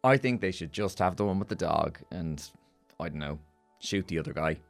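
An actor playing a dim, blunt, childlike man in a darkly comic audio drama, deadpan and naive. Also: deep voice, moderate pace, gravelly, soft background music. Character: dim, blunt, childlike male radio sidekick.

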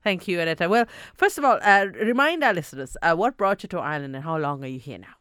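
Lively, smooth-voiced radio host woman. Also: on the low side, fast talker, no echo, clean studio sound. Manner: lively, stumbles and repeats words. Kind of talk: radio interview hosting. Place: radio studio.

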